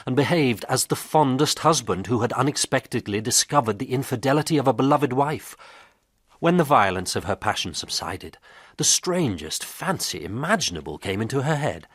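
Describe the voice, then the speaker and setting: Casting: pompous 1700s pamphleteer, theatrical moralising narration, animated mid pitch, dry close sound. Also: male voice, breathy edge, no echo, full character voice. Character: pompous 18th-century male author narrating a scandalous pamphlet. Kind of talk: period radio drama narration.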